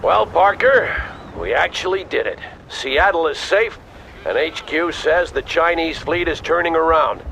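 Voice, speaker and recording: A man playing a stern, authoritative army colonel in a military video game, with a gravelly, clipped delivery. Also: fairly high pitch, lively intonation, measured pace, bright, forceful tone, slight background noise, exaggerated voice, poor audio. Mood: relieved, proud. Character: commanding, stern middle-aged army colonel. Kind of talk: dramatic military game cutscene. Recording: music behind the voice.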